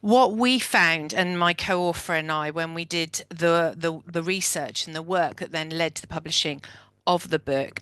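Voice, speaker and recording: A woman's voice: low voice, a bit bright, no room sound. A woman author speaking thoughtfully as a guest on an online panel.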